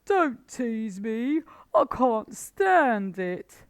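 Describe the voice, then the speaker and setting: Lady putting on a panicky old badger guy, high and loud, voice jumps all over, dry sound. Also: big pitch swings, extremely slow, clean tone, no echo, full character voice. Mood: furious. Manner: anguished, pleading, loud. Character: frantic desperate old male badger.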